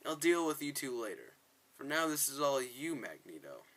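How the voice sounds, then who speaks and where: A man acts out a game supervillain full of scorn and menace. He is condescending and deliberate, sneering through a hard, bright-edged voice. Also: mid-range voice, big pitch swings. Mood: menacing, disdainful. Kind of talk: reading game story dialogue aloud.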